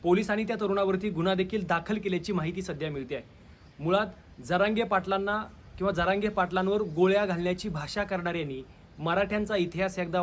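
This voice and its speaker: A man with a high-set voice and a Maharashtrian Marathi accent gives opinion commentary to his audience. His delivery is rhetorical and confrontational. Slight background noise sits under the recording. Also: fairly bright tone. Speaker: video commentator, channel host. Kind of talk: opinion commentary addressed to viewers.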